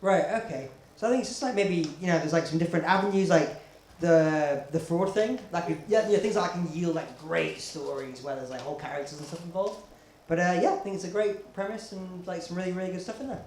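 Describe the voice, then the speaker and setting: A man's voice: fairly high pitch, a little fast, a little mellow. A man giving casual feedback as a comedy judge, rambling and hesitant, repeating words for emphasis. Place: live comedy venue. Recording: echoey room, faint background noise.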